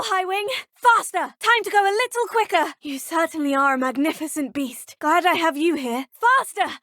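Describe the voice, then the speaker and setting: A plucky young wizarding student from a video game, curious and quietly self-assured. Her voice sits a little high and carries a soft breathiness. The recording is close and dry. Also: dry recording. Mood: urging. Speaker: player character voice in a video game.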